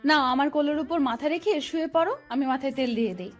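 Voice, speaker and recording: A woman plays a caring, playful young college student. Her voice is high. It is romantic audio drama dialogue over soft music. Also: fast, lightly acted. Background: background music.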